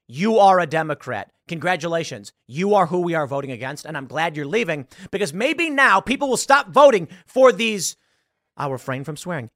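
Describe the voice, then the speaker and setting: Animated sarcastic man in his thirties. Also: high-pitched, no echo, clean studio sound. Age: 30s. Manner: impassioned, sarcastic, mocking quoted voices. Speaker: political commentary host. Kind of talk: live political commentary.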